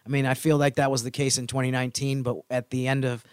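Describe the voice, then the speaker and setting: A man talks sports as a podcast co-host in a close, dry recording. His pitch sits in the middle, and he explains things in a measured, slightly hesitant, conversational way. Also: flat delivery, smooth, no echo. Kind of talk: casual sports chat.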